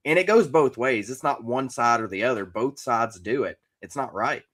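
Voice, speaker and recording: A man with a medium-pitched voice and a bright, pressed edge talks as a podcast co-host. His delivery is conversational and measured, explaining and qualifying each opinion as he goes.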